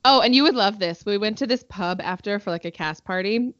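A woman's voice: mid-range voice, a little fast, no echo. A young woman tells a story in an upbeat, easygoing way and laughs along with her friend. Her voice comes through dry and a little muffled, with the lo-fi sound of a call. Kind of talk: casual chat.

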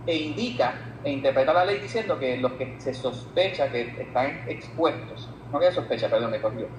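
A man with a Puerto Rican Spanish accent explaining as an expert guest in an interview, haltingly repeating words as he reasons. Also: on the high side. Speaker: guest expert on labor law. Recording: echoey room with some background noise.

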